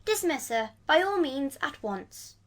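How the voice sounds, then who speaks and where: A woman's voice: sits high, very animated, unhurried, fairly bright tone, voice pushed up, full character voice. A woman voicing a haughty elderly aristocratic dowager in a storybook reading, imperious and fussy.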